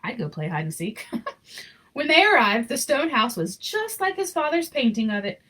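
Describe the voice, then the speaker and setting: A woman reading a children's picture book aloud in an expressive, informal way with chatty asides. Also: on the high side, moderate pace, slightly rough. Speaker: story reader.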